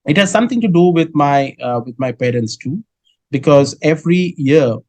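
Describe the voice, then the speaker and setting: A middle-aged man from Kolkata with an Indian English accent, answering interview questions in a measured way with many filler sounds. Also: fairly high pitch, lively intonation, a little mellow, dry recording. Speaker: guest, dairy business head.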